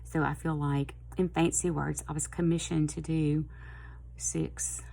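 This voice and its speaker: A middle-aged woman with a Southern US accent, chatting casually and a little hoarse, in a rambling, friendly way. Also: sits low, flat delivery, a little slow, warm tone, low-quality recording. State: slightly hoarse. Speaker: planner vlogger. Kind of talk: casual chat to camera.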